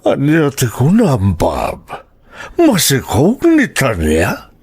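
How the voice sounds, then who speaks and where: A man's voice: mid-range voice, wildly swooping pitch, slow pace, darkish tone, breathy edge, no echo, full character voice. An actor with an Ilocano accent playing a domineering, disapproving older father-in-law in a radio drama. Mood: questioning.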